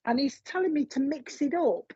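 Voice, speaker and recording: A woman's voice: high voice, moderate pace, mellow, dry recording. A woman giving a psychic reading, chatting casually and relaying messages with lots of fillers and asides. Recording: dull, dry lo-fi sound.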